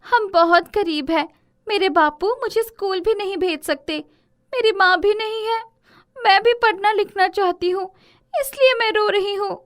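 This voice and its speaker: Very high-pitched eager little girl. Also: measured pace. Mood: pleading. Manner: pleading, earnest, inquisitive. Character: poor, eager, innocent little village girl.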